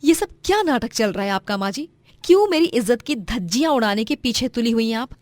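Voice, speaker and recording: A haughty young bride who sneers and scolds her mother-in-law, pitched high and pushed loud, as a woman acts her out. She sounds spoiled and rude, recorded close and dry for a cartoon. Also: brisk, no echo, close to an everyday voice. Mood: angry. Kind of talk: cartoon voice acting.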